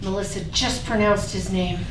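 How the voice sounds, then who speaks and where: A woman's voice: mid-range voice, steady tone, moderate pace. A woman hosting and reading aloud at a staged reading. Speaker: host introducing and reading a poem. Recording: echoey room, distant mic, faint noise.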